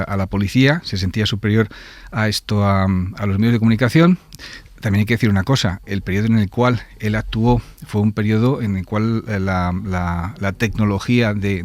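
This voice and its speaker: A man with an accent from Spain giving spontaneous expert commentary, halting and repeating words as he explains. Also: on the low side. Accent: Spanish (Spain). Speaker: journalist and criminology writer, expert guest.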